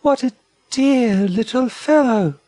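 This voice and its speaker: A man voicing a gentle female wallaby in a storybook, soft, sweet and pleading. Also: extremely high pitch, lively intonation, slow pace, dry recording, pitched above the performer's own voice, heavily acted.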